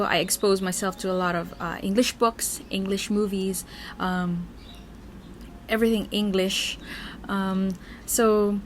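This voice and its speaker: A woman's voice: medium pitch, fairly bright tone, a little hiss or hum. A young woman with a Filipino accent, chattily reading out fan questions and answering them at length in a casual Q&A video. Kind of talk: casual Q&A vlog.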